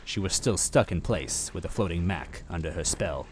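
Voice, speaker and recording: A man's voice: deep voice, animated, normal speed, slight background noise. A man narrating a story aloud in a dramatic first-person style.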